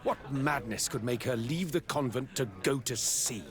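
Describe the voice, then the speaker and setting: A man's voice: mid-range voice, normal speed, a crowd in the background, close to an everyday voice. An actor playing a stern, pious, middle-aged father in a video game, haughty and bitter in his formal speech. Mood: indignant, bitter. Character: stern, pious, scornful father of grown daughter. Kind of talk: video game cutscene dialogue.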